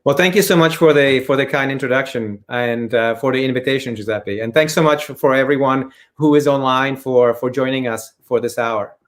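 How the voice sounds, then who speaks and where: A man's voice: medium pitch, normal speed, hardly any pauses. A man from the Czech Republic with a Czech accent, giving conversational interview answers and often rephrasing as he talks. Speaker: interview guest, corporate storyteller and former journalist. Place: remote video webinar.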